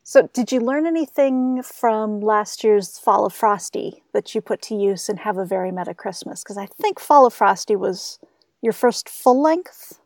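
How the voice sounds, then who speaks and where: A woman's voice: mid-range voice, lively intonation, unhurried, darkish tone, no echo, soft background music. A woman hosting a radio talk show, asking interview questions.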